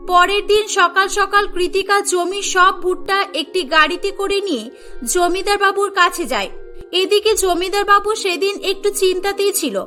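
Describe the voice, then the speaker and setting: A woman narrator with a very high, bright voice. She reads a scripted cartoon folk story, with faint music underneath.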